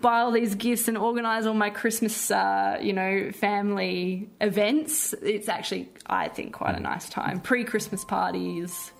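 Creaky-voiced Australian woman in conversation. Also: mid-range voice, steady tone, a little slow, hardly any pauses. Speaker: theatre director, interview guest. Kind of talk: casual interview chat.

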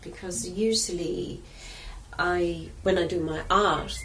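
A woman from Iceland in casual chat, gently asking about a drawing with hesitant phrasing. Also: lowish voice, fairly even intonation, takes it slowly. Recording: distant mic with faint background noise.

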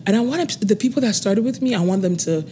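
A woman's voice: mid-range voice, warm tone, a little hiss or hum. Earnest young woman guest, laughs easily. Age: 20s. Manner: laughs often, slow and earnest when talking goals. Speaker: interview guest. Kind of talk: casual interview chat.

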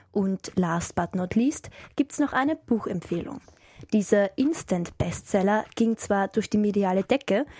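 A woman co-hosting a community radio show, reading announcements in an upbeat, smooth way. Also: medium pitch, a little mellow, no room sound, clean studio sound. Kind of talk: scripted radio presenting.

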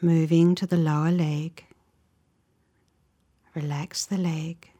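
Breathy, slow-paced woman guiding relaxation. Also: deep voice, little pitch movement. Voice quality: soft, breathy, often near a whisper. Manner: soothing, gentle, measured delivery with short phrases and pauses. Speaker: guided meditation instructor. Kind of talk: guided relaxation meditation, calm step-by-step instructions.

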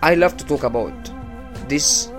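A man's voice: on the high side, big pitch swings, faint music behind. A man from Egypt who is not an English speaker hosts a podcast, giving a motivational religious talk with plain, repeated phrases. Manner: unhurried, repeats phrases, non-native English. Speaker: podcast host. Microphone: small low-cost podcast setup.

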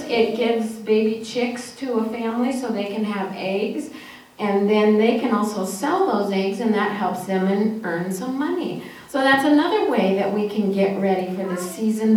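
Echoey, higher-voiced woman leading a children's message. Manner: encouraging, affirming children's answers. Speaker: children's message leader at a church. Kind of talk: simple question-and-answer children's talk. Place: church, during a service. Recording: echoey church, distant mic, faint noise.

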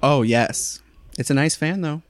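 A man's voice: fairly high pitch, unhurried. A man from Florida, a stand-up comedian guest, talking in a sleepy, rambling way full of fillers and giggles. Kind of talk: casual chat.

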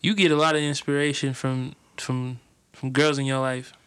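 A man chatting casually and teasingly with a friend, his talking voice soothing and mid-pitched. He talks in loose slang and keeps the banter going. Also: level tone, moderate pace, a bit bright. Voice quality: raspy when rapping, soothing when talking. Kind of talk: casual chat.

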